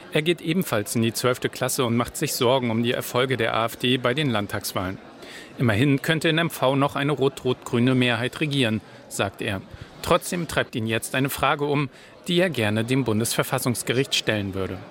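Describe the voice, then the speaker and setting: A man's voice: mid-range voice, animated, moderate pace, fairly bright tone. A man narrating a scripted radio news feature as a reporter.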